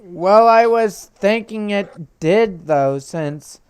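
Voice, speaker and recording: A woman's voice: mid-range voice, expressive, drawn-out words, a little mellow, slight background noise. A woman guest in a casual chat, hesitant and questioning as she talks. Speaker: reaction guest.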